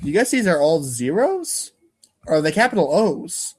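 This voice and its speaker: A man with a somewhat high voice narrates in the second person for a comedic reader's theater. His delivery is theatrical and wry, and he sometimes stumbles on the longer words. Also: sing-song, normal speed, a little mellow, slightly rough.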